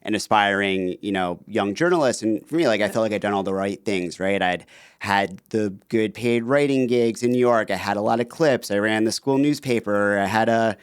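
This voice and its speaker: A man's voice: on the low side, normal speed, smooth, no room sound, clean studio sound. A man in his thirties, a podcast guest telling stories in a chatty, casual way, with lots of "like" and "you know". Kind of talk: casual interview chat.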